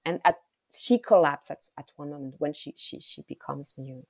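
Low-voiced woman, halting speech. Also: creaky, darkish tone, no room sound. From Rwanda. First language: Kinyarwanda. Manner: halting, repeats words as she searches for them. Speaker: author, guest. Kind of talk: interview answers about her novel.

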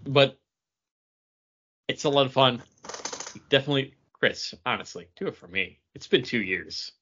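A man hosting a casual podcast, chatting and bantering in a jokey, animated way, laughing readily. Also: mid-range voice, normal speed, slightly rough, dull audio. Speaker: podcast host.

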